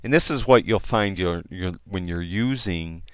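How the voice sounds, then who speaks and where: A man explaining a photo editing program in a casual, rambling tutorial, with many fillers and restarts, and a voice that is getting hoarse. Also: sits low, normal speed, no echo, studio quality. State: losing his voice. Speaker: software tutorial instructor. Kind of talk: casual screen-recorded tutorial.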